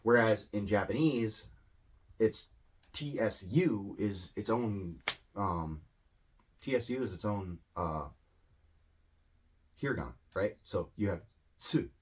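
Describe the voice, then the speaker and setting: Low-voiced man rambling through a lesson. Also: lively intonation, slow, long pauses, husky. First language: English. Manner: rambling, filler-heavy, self-correcting. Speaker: English teacher. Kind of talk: casual explainer monologue.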